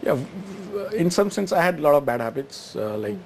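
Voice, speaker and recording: A man's voice: fairly high pitch, very animated, fairly quick, slight background noise. A middle-aged man from Gujarat, answering interview questions with many fillers and restarts. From Gujarat, India. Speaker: guest, exchange chief executive.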